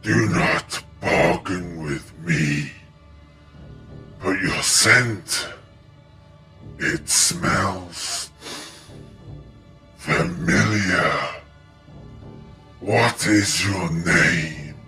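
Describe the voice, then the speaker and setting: A man's voice: low-pitched, drawn-out words, long pauses, music in the background. A man playing an ancient, hungry plant monster in an audio drama, menacing and vengeful, making grandiose pronouncements.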